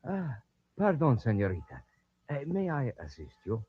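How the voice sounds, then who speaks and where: A bold young gallant in an old radio drama, courting with theatrical flourish. His slightly husky voice swings widely in pitch. The recording is dull and lo-fi. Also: wildly swooping pitch, normal speed, no echo. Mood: gallant, ardent.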